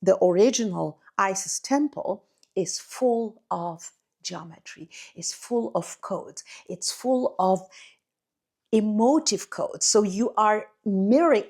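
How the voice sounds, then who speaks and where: A woman, an Arabic speaker, talks straight to her viewers in a rolling, incantatory spiritual monologue. Her voice sits at a middling pitch for a woman. She stacks phrases one after another and leans on "you know, like" as she goes. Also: gravelly, a little mellow, breathy edge. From Egypt. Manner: effusive and incantatory, addresses listeners as beloved, many "you know, like" fillers. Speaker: spiritual teacher and channel host.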